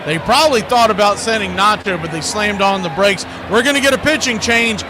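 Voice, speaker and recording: A man's voice: high voice, fairly quick, noisy background. A man doing live radio-style baseball play-by-play in clipped, punchy phrases with folksy slang.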